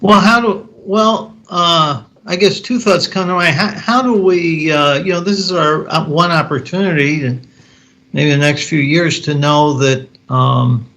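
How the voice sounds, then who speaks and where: A man on a board committee, asking pointed questions at a meeting in a halting, restarting way. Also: fairly high pitch, steady tone, pushed and bright.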